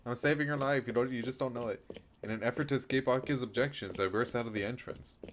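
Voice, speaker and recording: A man's voice: medium pitch, fast talker. A man streaming a game, switching between reading story narration aloud and commenting casually. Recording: clear background noise, rainy ambience. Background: noise, rain during a stream.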